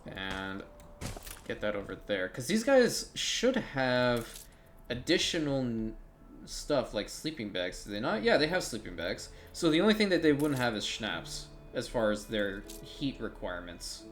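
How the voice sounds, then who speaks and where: A man's voice: mid-range voice, very animated, measured pace, soft background music. A man giving casual, rambling commentary while playing a strategy game, thinking aloud and swearing now and then.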